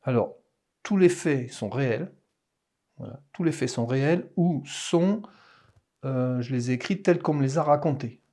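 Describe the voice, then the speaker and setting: Mellow-voiced Parisian man, halting. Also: mid-range voice, expressive. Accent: Parisian French. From France. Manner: hesitant, halting, many restarts. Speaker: author being interviewed. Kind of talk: casual interview conversation.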